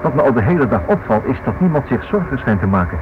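A man's voice: mid-range voice, sing-song, fast, close to an everyday voice. An actor playing an inquisitive, stubborn married man in a radio play, thinking aloud and repeating himself. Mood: excited reasoning. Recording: noisy, dull old radio recording.

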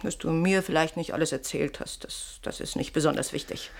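An actress playing a sleepless, needling wife in a German radio play, probing with theatrical, ironic sweetness. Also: female voice, sits low, fairly quick, creaky.

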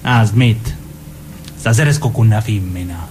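A man's voice: fairly low pitch, normal speed, darkish tone, slight background noise, natural voice. A man playing a cocky, crude young gangster in a radio drama, with a southern Italian accent and slangy, swaggering talk. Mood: cocky, teasing. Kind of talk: naturalistic radio drama dialogue.

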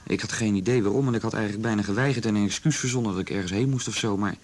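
An actor playing an earnest young son in a radio drama, telling what he witnessed in a halting, sincere, plain-spoken way. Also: fairly low pitch, natural voice. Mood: uneasy, earnest. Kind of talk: naturalistic radio drama dialogue.

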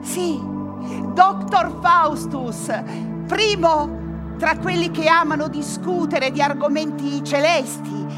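An actress playing a solemn chorus figure who warns of damnation, telling it in emphatic, declamatory verse for radio drama. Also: female voice, high voice, over loud music. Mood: solemn storytelling. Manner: slow, emphatic, declamatory phrasing.

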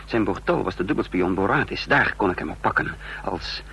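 Troubled confused man narrating old radio play, halting, brooding, bit of hiss. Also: low-pitched, expressive, gravelly, sharp tone, full character voice. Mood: brooding unease. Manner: brooding, halting, fragmented phrases. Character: haunted, confused man caught in espionage, narrating his troubles. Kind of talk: Dutch radio drama acting, introspective narration.

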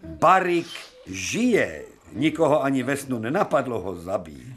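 An actor playing an older, imperious professor in a radio drama, pompous and elaborately polite with dry irony. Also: male voice, mid-range voice, big pitch swings, soft background music, lightly acted. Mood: indignant, amused. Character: courtly, ironic, imperious male professor of surgery.

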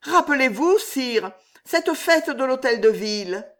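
Woman reader does a scheming young court lady: high, breathless, emotional. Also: expressive, takes it slowly, fairly bright tone, heavily acted. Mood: ardent.

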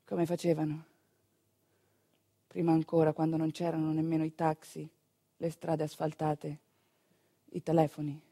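A woman narrating a theatrical monologue in an expressive, deliberately phrased way. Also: sits low, slightly rough, no echo. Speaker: stage actress and narrator.

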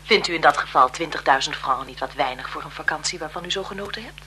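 Calculating bereaved sister, deferential yet insinuating, bright piercing voice, 1970s radio drama, faint noise. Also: female voice, low voice, fast talker. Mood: menacing, smooth. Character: frail-seeming, calculating female blackmailer, photographer's bereaved sister.

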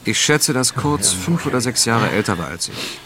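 An actor playing a world-weary, sardonic male expedition veteran in a radio drama, speaking and narrating in a dry, deliberate manner. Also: mid-range voice, animated, a loud crowd, close to an everyday voice. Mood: reflective. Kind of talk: radio drama dialogue and first-person noir narration.

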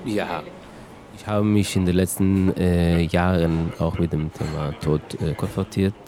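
A man with a low voice gives a personal account. He speaks slowly and haltingly, thinking as he goes. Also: fairly even intonation, over music. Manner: halting, reflective. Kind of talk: personal testimony, informal.

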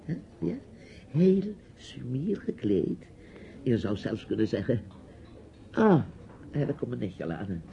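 A man's voice: mid-range voice, huge pitch swings, slow, vocal fry, long pauses, mellow, full character voice. An actor playing a chatty, nosy, bossy older man in a radio drama, with a theatrical, rambling delivery. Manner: theatrical, rambling, commanding, with hesitations. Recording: dull, hissy old radio play recording.

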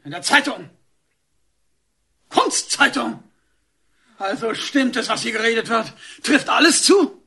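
A man's voice: very high voice, lively intonation, measured pace, creaky, long pauses, breathy edge, full character voice. An actor playing an enraged, domineering father in a radio drama, hectoring and accusing. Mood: bitter, accusing. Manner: accusing, hectoring, shouting outbursts. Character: enraged, domineering father, rigid provincial moralist. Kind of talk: German radio drama acting.

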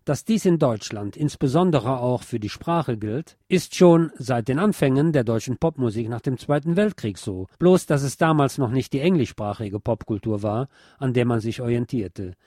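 A man reading a scripted cultural essay aloud in a measured, formal, literate manner. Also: medium pitch, lively intonation, dark tone, no room sound, clean studio sound. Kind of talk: scripted spoken essay on pop history, read aloud.

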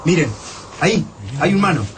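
An actor with an Argentine accent playing a keen, excitable young resistance fighter in a radio drama. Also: male voice, on the high side, normal speed, lightly acted. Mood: excited. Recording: clearly noisy background ambience.

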